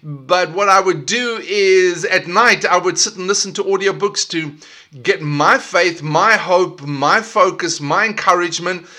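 South african guy hosting his podcast, high bright voice, talks with a lot of push and keeps restarting. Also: measured pace. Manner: energetic and emphatic, with repetitive restarts. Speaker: podcast host. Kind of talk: solo leadership podcast talk.

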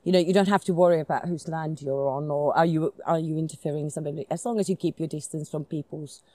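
A middle-aged Finnish-accented woman with a low, mellow voice talks in an easy, searching way, stopping on ums and restarting her sentences. The sound is close and dry, though she is out in a forest. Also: steady tone, fast, no room sound. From Finland. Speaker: guest, society chairman. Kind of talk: conversational interview. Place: outdoors in a forest.

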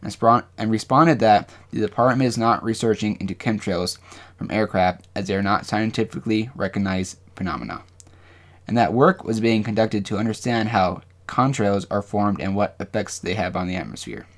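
Dude on a podcast, kinda low voice, halting and rambly, chatting and reading off notes. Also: male voice. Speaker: podcast co-host. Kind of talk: casual discussion with some reading aloud from notes.

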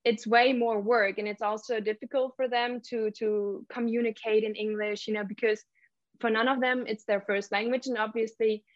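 Woman guest, slightly high voice, hesitant, dull lo-fi audio. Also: fairly even intonation, moderate pace, no room sound. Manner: thoughtful, hesitant with many ums. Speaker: interview guest. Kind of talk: casual interview chat.

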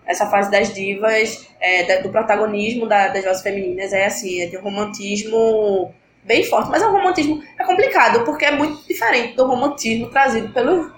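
Uma mulher com gripe apresentando um podcast em conversa descontraída, falando de forma animada e dispersa. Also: female voice, medium pitch, fairly quick, echoey room, slight background noise. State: has a cold, congested. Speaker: podcast host. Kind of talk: casual podcast chat.

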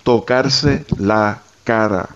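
Low-voiced male doctor on a dull line. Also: very slow, clean tone. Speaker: guest doctor answering listeners' questions. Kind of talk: explaining medical questions in a radio talk.

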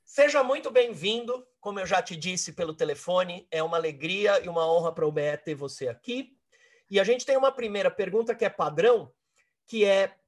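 A Brazilian man hosting an interview, introducing and questioning a guest with repeated short phrases and restarts. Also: sits high. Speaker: interview host.

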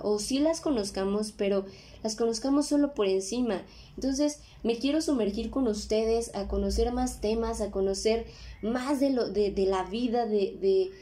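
A woman's voice: fairly high pitch, dark tone. A woman in her twenties with a Mexican accent, hosting a podcast in a casual, conversational monologue with frequent tag questions. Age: 20s. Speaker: podcast host.

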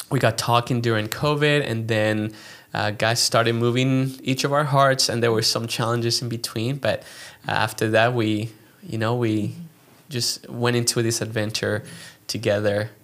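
An earnest young man from Chile talking about his life as a pastor in a relaxed interview. His voice sits in an ordinary middle pitch, and he circles back and restarts his sentences as he thinks aloud. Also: measured pace, hardly any pauses. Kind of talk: casual interview chat.

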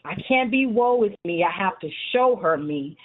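A woman's voice: mid-range voice, lively intonation, normal speed. A middle-aged woman speaking earnestly and at length as a guest in a radio interview, with passionate conviction. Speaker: guest, youth support group chapter leader. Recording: narrow tinny phone-like line.

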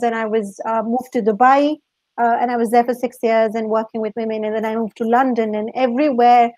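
A woman's voice: a little high, dark tone, no echo, poor audio. A woman from Pakistan answering interview questions as a guest coach, explaining things at length.

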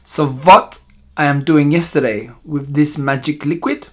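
Male narrator as evil storybook witch, imperious, malevolent, slow emphatic delivery, broken grammar. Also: a little high. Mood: sneering. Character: imperious, malevolent female Grand High Witch plotting against children.

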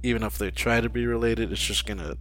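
A man's voice: medium pitch, quick pace, a bit bright. A man co-hosting a podcast, chatting casually in an informal, slangy, matter-of-fact way. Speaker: podcast co-host. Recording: background music under the voice. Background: music.

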